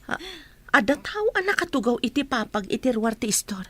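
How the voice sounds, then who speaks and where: A woman's voice: fairly high pitch, very animated, fairly quick, sharp tone, a little hiss or hum. A woman acting a hurt, dutiful eldest sister with some resentment in an Ilocano radio drama. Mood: reserved, bitter.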